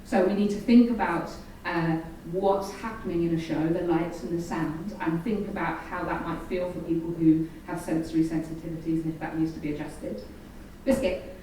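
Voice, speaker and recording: A mellow-voiced woman explains points to a room, with involuntary vocal tics breaking in. She is heard from a distance in a heavily echoing space with faint noise. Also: fairly low pitch, steady tone, moderate pace. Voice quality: involuntary vocal tics, interjected stray words. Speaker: panel speaker. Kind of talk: explanatory live presentation. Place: event venue, recorded from afar.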